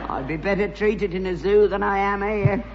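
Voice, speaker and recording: A man's voice: high voice, moderate pace. Cantankerous old London junk man. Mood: scornful grumbling. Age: older. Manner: whining, carping, cantankerous. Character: grumbling, scornful old rag-and-bone man, the father. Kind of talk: British sitcom acting before an audience. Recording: muffled, reverberant old sitcom soundtrack, faint noise.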